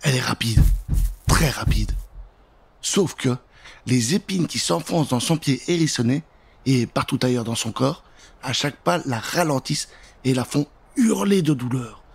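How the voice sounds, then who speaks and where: Casting: male narrator for spooky children's tales, mid-range, slightly raspy, theatrical delivery. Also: medium pitch, lively intonation, normal speed. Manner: theatrical, suspenseful. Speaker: storyteller. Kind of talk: dramatic children's Halloween story narration. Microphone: studio microphone with pop filter.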